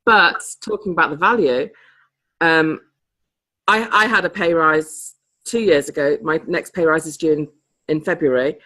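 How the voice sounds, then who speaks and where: A woman's voice: deep voice, moderate pace, sharp tone, rough recording. A woman chatting casually on a group video call. She laughs often and sometimes loses her thread. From Britain. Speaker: participant in a coaching group call.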